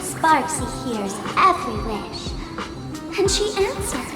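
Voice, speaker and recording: Smug playful anime game idol girl, high voice, super theatrical and teasing, drawn out, music behind. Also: very animated, slow pace, roomy sound, full character voice. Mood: smug, grandiose. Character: playful, theatrical young woman internet idol, smug trickster. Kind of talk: video game voice acting.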